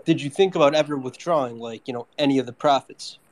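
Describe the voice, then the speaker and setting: A man's voice: medium pitch, fast talker, darkish tone, a little hiss or hum. A man co-hosting a casual live chat show, talking informally and asking questions. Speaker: co-host.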